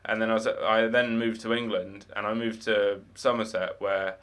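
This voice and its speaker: A man's voice: fairly low pitch, flat delivery, normal speed, a bit bright. Chatty young man, rambling casually. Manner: rambling, chatty, many fillers and restarts. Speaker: streamer. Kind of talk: casual live-stream chat.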